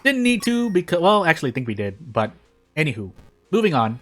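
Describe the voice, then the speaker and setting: A man's voice: on the high side, sing-song, brisk, soft background music. A man hosting a gaming channel, giving casual, rambling gameplay commentary with self-deprecating asides.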